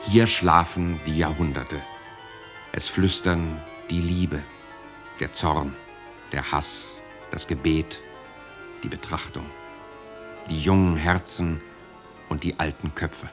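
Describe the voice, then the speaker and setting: An actor playing a reflective man narrating his restless youth in a radio drama, with emphatic, measured phrasing. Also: a bass voice, steady tone, over music. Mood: reverent. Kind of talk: dramatic first-person narration, German radio play.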